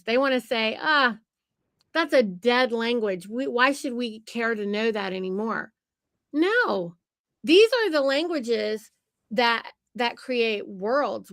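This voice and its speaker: A woman's voice: fairly high pitch, no room sound, studio quality. A woman hosting a podcast conversation, talking in an emphatic, lecturing way. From United States. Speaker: podcast host.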